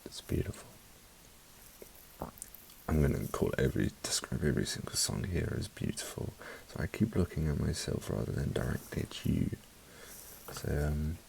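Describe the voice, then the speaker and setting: Soft-spoken man with a deep creaky voice. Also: big pitch swings, slight background noise, low-quality recording. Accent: mixed British. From South Africa. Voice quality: soft, partly whispered. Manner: unhurried, rambling, wry asides. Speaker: album reviewer. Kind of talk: soft-spoken ASMR review.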